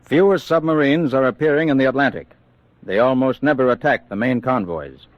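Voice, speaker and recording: A man's voice: medium pitch, a little fast, no room sound, highs cut off, natural voice. An actor playing an official spokesman reading a formal war statement in a radio drama. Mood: factual, satisfied.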